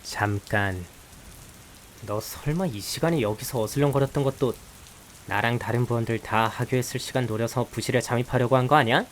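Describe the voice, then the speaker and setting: Man as weary high school boy, reluctant partner type. Sarcastic, dry, mid-pitched, over rain and hiss. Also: a little fast, lightly acted. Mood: grumbling, annoyed. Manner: blunt, dry, sighing and sarcastic. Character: grumbling, exasperated male high school student, reluctant partner. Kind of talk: visual novel voice acting with some first-person narration. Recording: hiss with steady rain behind.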